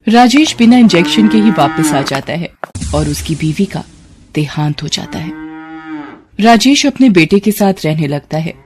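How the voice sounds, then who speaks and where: A woman's voice: lowish voice, expressive, moderate pace, music in the background. A woman narrating a scripted moral story as its storyteller. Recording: clear background noise.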